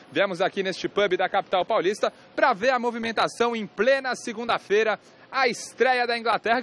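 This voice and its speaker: A man's voice: high-pitched, a little hiss or hum. A man working as a field reporter, chatting and joking with fans in a crowded pub. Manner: lively, chatty, jokes with fans. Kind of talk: on-location sports report and street interviews. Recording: busy pub crowd behind.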